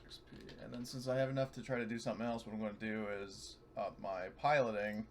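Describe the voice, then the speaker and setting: A man's voice: fairly low pitch, steady tone, quick pace, slight background noise. A man chatting casually and a bit sweary as a tabletop role-playing player after a game. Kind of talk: casual post-game chat.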